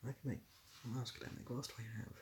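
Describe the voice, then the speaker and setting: A man's voice: medium pitch, fairly even intonation, rapid-fire, darkish tone. A young British man chatting casually on a livestream, rambling a little and dryly playful as he responds to viewers. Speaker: live streamer. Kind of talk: casual livestream chat, answering viewer comments.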